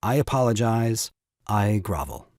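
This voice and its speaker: A man's voice: lowish voice, lively intonation, a little slow, a little mellow, dry recording, clean studio sound. An actor playing a scheming young husband and new father in a farce, sarcastic and mock-tragic. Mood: sulky, sarcastic. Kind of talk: stage farce audio drama acting.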